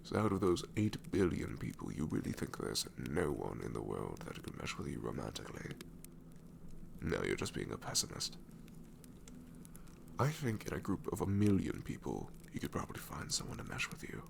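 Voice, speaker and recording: A man's voice: wildly swooping pitch, normal speed, noisy background, full character voice. A man playing an ancient, sassy shadow demon in an ASMR roleplay, wry and world-weary but tenderly reassuring, often slipping into a whisper. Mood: wry, exasperated, then gently reassuring. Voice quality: very deep, gravelly, often breaking into whisper.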